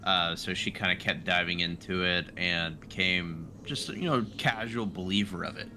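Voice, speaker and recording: A man talking casually and haltingly as a player in a tabletop roleplaying game, recapping his character in slangy, informal words. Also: low voice. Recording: noisy home microphone, steady background noise.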